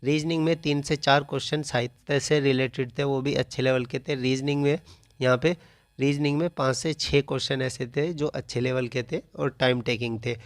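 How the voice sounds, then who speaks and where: A man's voice: medium pitch, fairly even intonation, moderate pace, no echo. A man explains like a coaching teacher in an informal analysis, often restating his phrases.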